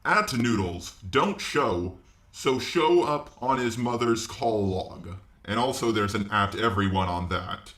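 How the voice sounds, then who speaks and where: A man's voice: on the low side, expressive, takes it slowly, a bit bright. A man giving casual reaction commentary. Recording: roomy, echoey voice recording.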